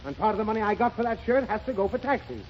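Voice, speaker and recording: Frazzled dad losing his patience, high voice that jumps around, old hissy radio sound. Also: animated, fast. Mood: exasperated. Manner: flustered, theatrically exasperated, sputtering. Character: exasperated father doing his taxes. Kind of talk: 1930s radio comedy acting. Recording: dull 1930s radio broadcast with hiss and studio audience.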